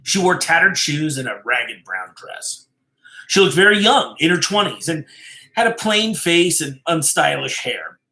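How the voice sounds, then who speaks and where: A man reading a story aloud as a dramatic storyteller, deliberate and suspenseful, stressing key phrases and dropping to hushed endings. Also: on the high side, very animated. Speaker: storyteller, host of a live reading show.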